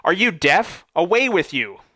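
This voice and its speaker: A man voicing a gruff, touchy male monster with a bear's head, roaring and blustering, raising his pitch. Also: animated, moderate pace, sharp tone, dry recording, clean studio sound.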